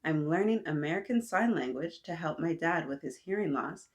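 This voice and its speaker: A woman's voice: deep voice, lively intonation, moderate pace. A woman voicing a friendly, busy young girl in a picture book, polite, chatty and earnest. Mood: earnest, explaining.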